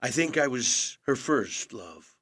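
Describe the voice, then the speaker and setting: An actor playing an aging movie star in an audio drama, a gentle, wry and understated older brother. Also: male voice, medium pitch, animated, slow, breathy edge, no room sound, clean studio sound, exaggerated voice. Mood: wry, evasive.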